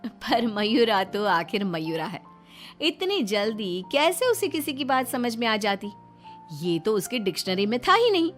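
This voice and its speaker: A woman reading scripted narration for a moral story, with music underneath. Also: medium pitch.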